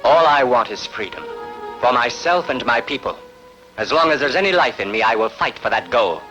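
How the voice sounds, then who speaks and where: A man's voice: medium pitch, animated, moderate pace, sharp tone, highs cut off, faint music behind. A man dubbing a stern, resolute ancient king with a formal, declamatory delivery in a film drama. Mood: defiant.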